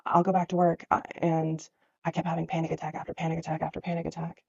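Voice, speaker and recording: A young woman with a very low, gravelly voice, nasal and stuffy from crying and a cold. She tells her story emotionally, with fillers and restarts. The recording is dry, with a slightly muffled tone. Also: completely flat delivery, fast talker, darkish tone, no echo. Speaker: dog trainer and business owner. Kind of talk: emotional personal vlog storytelling.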